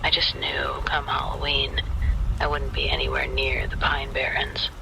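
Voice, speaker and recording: Male horror narrator, very gravelly, breathy half-whisper, hushed, deliberate, suspenseful, scripted storytelling. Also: medium pitch, completely flat delivery, low-quality recording. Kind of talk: first-person scripted spooky narration.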